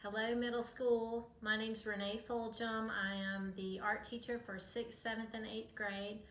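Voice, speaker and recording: A woman's voice: fairly high pitch, flat delivery, unhurried, a bit bright. An American woman, a middle school art teacher, giving a short welcome address to students. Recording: roomy echo, faint ambient noise.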